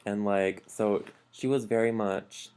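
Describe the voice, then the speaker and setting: Very low-voiced man telling stories. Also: steady tone, a little slow, darkish tone, clear, resonant tone. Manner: chatty, filler-heavy storytelling with many "like"s. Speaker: podcast co-host. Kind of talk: casual storytelling chat.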